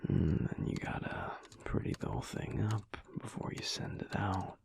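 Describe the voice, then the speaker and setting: A man playing a laid-back, teasing alpha werewolf husband in an intimate audio roleplay, talking softly and slowly with dry humour in a low, gravelly voice. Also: a little hiss or hum. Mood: casual, wry. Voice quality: low, husky, gravelly whispery voice. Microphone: close microphone.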